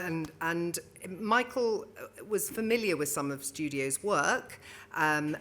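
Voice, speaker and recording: A woman's voice: sits low, fairly bright tone. A middle-aged woman speaking as a brand adviser on a conference panel, self-assured, telling a story in long flowing sentences into a handheld microphone. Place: conference stage.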